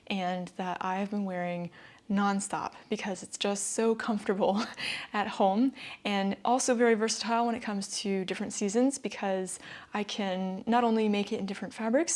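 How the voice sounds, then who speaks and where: A woman's voice: mid-range voice, a little mellow. Chatty American woman sewing vlogger. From northeastern United States. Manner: chatty, laughs often between phrases. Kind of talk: casual vlog talk to camera.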